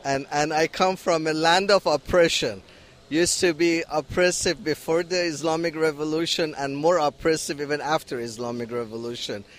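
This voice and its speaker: A man's voice: fairly high pitch, fairly even intonation, moderate pace. A young man from Iran with an Iranian accent, speaking as an activist at an outdoor protest, talking in a hesitant way with many restarts and fillers into a handheld mic. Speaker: activist at a rally. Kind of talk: street interview. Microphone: handheld interviewer mic.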